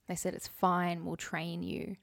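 A woman's voice: on the low side, completely flat delivery, a little slow, gravelly, no echo. A woman around thirty co-hosting a podcast, telling self-deprecating anecdotes in a casual, chatty way. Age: late 20s to early 30s. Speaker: podcast co-host.

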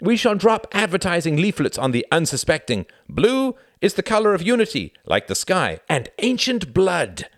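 A man's voice: high voice, big pitch swings, moderate pace, hardly any pauses, no room sound, clean studio sound, voice pushed up. A man voicing a boisterous young German pilot in an audiobook story, boastful and mocking in manner. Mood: boastful, sly. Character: boisterous, cocky young German male pilots, militaristic swagger.

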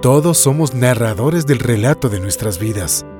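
A man's voice: mid-range voice, expressive, normal speed, loud music behind the voice. A man hosting a podcast in a conversational scripted style. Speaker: podcast host.

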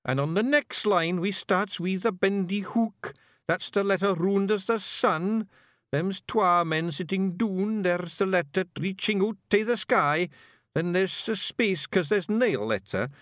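A man voicing a boastful little male fairy clan leader with a broad Scottish accent, blustering and quick to snap. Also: sits high, a bit bright, dry recording, studio quality, voice pushed up.

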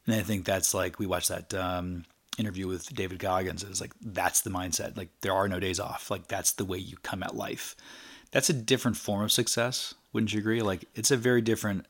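Low-voiced energetic male podcast host. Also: fairly quick. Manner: energetic, rambling with fillers. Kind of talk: casual chat.

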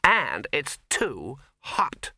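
A man's voice: a little high, sing-song, normal speed, no room sound, studio quality, voice pushed up, exaggerated voice. Man doing a petulant, fed-up young actress. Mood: complaining. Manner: complaining, petulant, loud.

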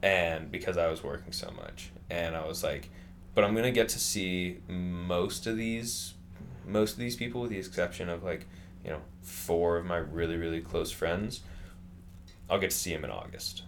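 A young man in his twenties talks in a loose, rambling way, full of fillers and restarts. His voice sits very low. Faint background noise runs under the chat. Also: normal speed. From California. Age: 20s. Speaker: interviewee. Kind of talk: casual conversation.

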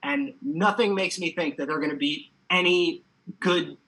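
Male podcast guest, sports betting talk. Very sharp, bright tone, hammy and casual. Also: on the high side, a little slow, poor audio. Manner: playful, hammy, talks in informal slang. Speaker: recurring podcast guest giving betting picks.

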